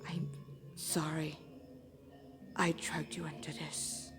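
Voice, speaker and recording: A woman's voice: sits low, flat delivery, slow, in a whisper, in a large hall, over music, pitched below the performer's own voice. A woman voicing a wounded, protective older male demon hunter in a story, speaking with strained effort. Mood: dying, remorseful.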